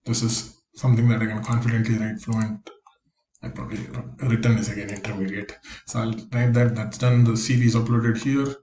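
A man talks through a screen-recorded tutorial, explaining each step plainly. His voice is mellow and fairly monotone. The room is echoey, with a faint hiss behind him. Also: lowish voice, a little fast. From India. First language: Konkani. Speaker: career coach.

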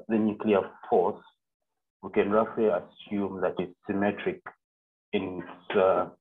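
Low flat-voiced young man on a call. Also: husky. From Zambia. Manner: halting, many fillers and self-corrections. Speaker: physics PhD student presenting a seminar. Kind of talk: online seminar lecture. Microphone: video call. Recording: narrow phone-like call audio.

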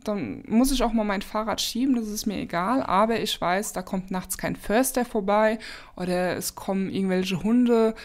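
Podcast host, woman, slightly sniffly from a cold, relaxed rambling advice. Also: mid-range voice, normal speed. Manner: chatty, many fillers and restarts. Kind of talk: casual advice talk.

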